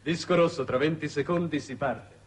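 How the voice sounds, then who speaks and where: A man's voice: on the high side, normal speed, close to an everyday voice. A young man playing a courteous, deferential spaceship aide, polite and clipped, in 1960s Italian television drama. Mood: attentive, brisk. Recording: noisy old 1960s television soundtrack.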